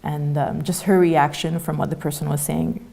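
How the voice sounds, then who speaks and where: A woman in her thirties who grew up in Canada, speaking as an interview guest in a soft, halting way with many fillers. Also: deep voice, level tone, creaky. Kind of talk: conversational interview.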